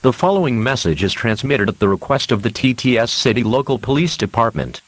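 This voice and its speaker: A man playing an earnest radio news announcer, reading a scripted emergency alert in a formal, measured manner. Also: mid-range voice, expressive, a little hiss or hum, natural voice. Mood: grave, formal.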